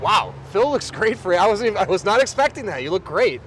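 Man presenting outdoors, slightly high voice. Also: sing-song, fast talker, a bit bright. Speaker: host, company CEO. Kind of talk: upbeat on-camera interviewing and presenting. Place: outdoors by traffic. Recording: outdoor recording with clear street noise.

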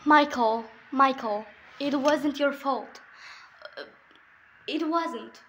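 Young woman, high voice, casual emotional reaction commentary, hesitant and tender. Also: slow pace, a bit bright, slight background noise. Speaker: reaction video maker.

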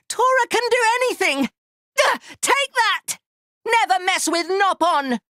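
Very high, squeaky little furry creature. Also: male voice, bright, forceful tone, no echo, studio quality, exaggerated voice. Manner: cutesy broken grammar, third-person self-reference, exclamatory. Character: small furry Nopon creature, eager, boastful, childlike male inventor. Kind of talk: video game battle voice lines.

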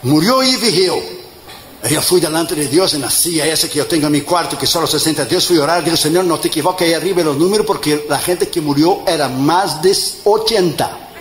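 A man in his fifties, an evangelist preaching a sermon, tired, emphatic, mixing jokes with loud rising exhortation. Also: on the high side, fairly quick. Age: 50s. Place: church in front of a congregation. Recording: echoey church with crowd and noise.

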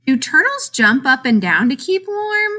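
A woman reads a picture book aloud for young children in a high, bright voice. Her delivery is very animated, swooping up and down, with emphatic questions and answers. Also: a little slow. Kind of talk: expressive children's read-aloud narration.

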